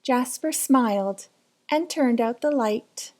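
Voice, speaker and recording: Female children's storybook reader, higher register, unhurried, dramatic and suspenseful. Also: darkish tone. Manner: dramatic, suspenseful delivery with drawn-out words. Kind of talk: children's picture book read-aloud.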